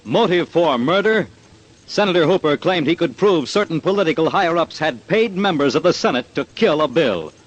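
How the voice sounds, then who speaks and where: High-pitched, portentous male 1940s radio narrator. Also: animated, moderate pace, dull audio. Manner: clipped, portentous. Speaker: program narrator.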